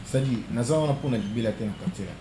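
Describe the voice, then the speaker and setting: A man's voice: medium pitch, rapid-fire. A young man in his studio interview, speaking in a casual, hesitant way with many fillers, switching between two languages. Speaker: interview guest, singer. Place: studio set with wooden walls, indoors. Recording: echoey room with clear background noise.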